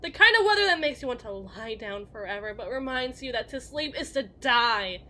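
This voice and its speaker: A woman's voice: on the high side, lively intonation, moderate pace, pushed and bright. A woman playing a melancholy, guilt-ridden evil queen in an ASMR roleplay monologue, speaking in formal, introspective phrases to someone she loves. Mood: bleak, resigned.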